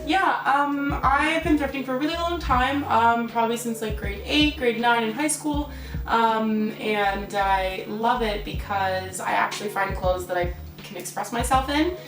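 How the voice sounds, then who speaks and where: A young woman, a guest in a casual chat, speaking in a relaxed way with many "um"s. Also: on the high side, a little slow. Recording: roomy echo, distant mic, background music. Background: background music.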